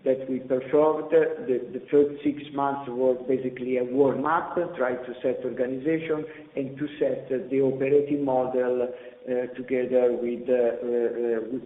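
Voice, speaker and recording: A man presenting company results as an executive on a conference call, speaking haltingly with repeated words and drawn-out pauses. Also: mid-range voice, level tone. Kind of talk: earnings conference call presentation, semi-scripted business talk. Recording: echoey hall reverb, slightly dull conference-call audio.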